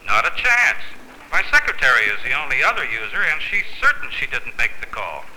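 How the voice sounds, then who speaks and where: A man's voice: mid-range voice, huge pitch swings, brisk. An actor playing a firm, clipped businessman and telephone customer in a 1950s training film. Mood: firm, certain. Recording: noisy, crackling 1950s film soundtrack.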